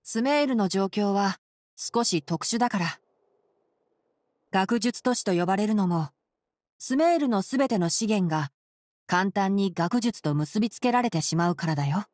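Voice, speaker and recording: A woman voicing a clever young male scholar in an anime game, measured and gently dry. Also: medium pitch, animated, no room sound, natural voice. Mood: calm, thoughtful. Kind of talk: Japanese anime game voice acting.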